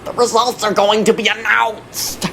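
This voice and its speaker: A woman's voice: medium pitch, level tone, unhurried, creaky, fairly bright tone, a little hiss or hum. A woman playing a bossy, impatient young woman in a radio drama.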